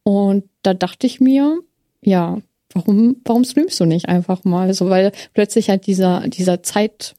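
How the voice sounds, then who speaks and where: A woman's voice: medium pitch, a little slow, dark tone, no echo, clean studio sound. A woman who streams, talking casually and candidly as an interview guest, often searching for her words. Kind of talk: casual personal storytelling in an interview.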